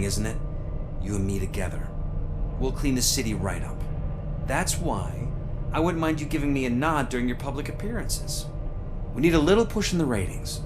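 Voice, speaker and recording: A man's voice: mid-range voice, close to an everyday voice. An actor playing a smug, ingratiating male politician in a video game, with a chummy campaigning manner.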